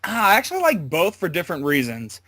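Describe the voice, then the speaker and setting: A man giving a casual interview as a voice actor, talking in a halting, loosely worded way. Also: fairly high pitch, huge pitch swings, bright, forceful tone, dry recording.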